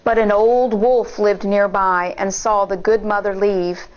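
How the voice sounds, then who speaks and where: A woman teacher reading a picture book aloud to children in a deliberate, explanatory way. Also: mid-range voice, little pitch movement, highs cut off. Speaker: elementary school teacher, story reader. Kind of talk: storybook read-aloud with asides to children.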